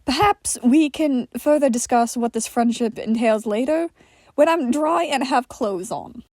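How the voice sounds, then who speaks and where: A prim, exasperated man, acted out by a woman reader in an audio story. The voice is high, huffy and long-suffering, recorded dry. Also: measured pace, a little mellow, dry recording. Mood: wary, huffy.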